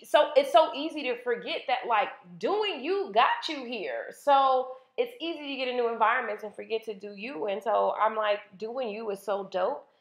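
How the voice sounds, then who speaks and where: Thirties woman hosting a solo podcast; candid and chatty, recorded in a roomy space. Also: mid-range voice. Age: 30s. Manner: chatty, candid, uses filler "like" and "um". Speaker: podcast host. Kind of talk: casual solo podcast monologue. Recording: roomy, dull lo-fi sound.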